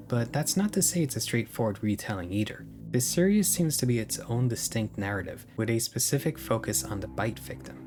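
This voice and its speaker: A man narrating a video essay in a casual, opinionated way. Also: fairly low pitch. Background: music.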